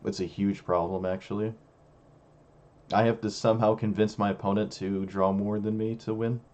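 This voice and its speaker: Hoarse young man musing over gameplay. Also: lowish voice, level tone, normal speed, darkish tone, highs cut off. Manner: musing, self-critical, talks to himself. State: losing his voice, hoarse. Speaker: streamer playing a card game. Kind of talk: casual gameplay commentary.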